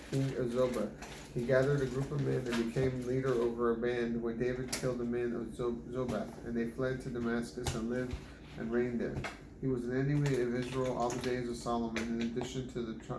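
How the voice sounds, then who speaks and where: Man, home Bible reader, steady flat delivery, trips over names; echoey room with noise behind. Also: mid-range voice. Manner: stumbles and restarts on hard names. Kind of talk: reading scripture aloud.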